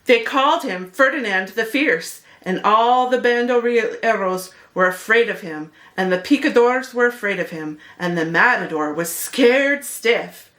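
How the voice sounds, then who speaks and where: A woman's voice: a little high, expressive, measured pace, hardly any pauses, fairly bright tone. A woman narrating a children's picture book expressively, as a read-aloud host speaking to young listeners.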